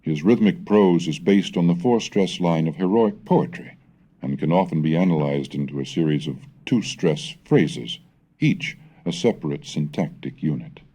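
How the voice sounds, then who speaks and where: A man giving a formal, measured scripted reading, declamatory, in the style of an educational recitation of old texts. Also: rumbling low, warm tone, slightly rough. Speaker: reader and narrator of a language history recording.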